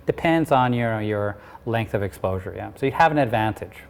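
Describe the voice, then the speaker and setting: A man's voice: on the low side, animated, normal speed, gravelly, clean tone. A man answering interview questions as a language expert, checking in with his listener often as he explains. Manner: checks in often with "right?" and "okay?". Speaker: interview guest, applied linguistics expert.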